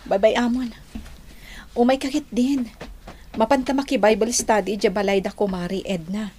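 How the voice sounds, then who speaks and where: An actress playing a poor, devout and patient housewife in an Ilocano radio drama. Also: female voice, a little high, steady tone, measured pace, dark tone, slight background noise, close to an everyday voice. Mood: concerned, pious.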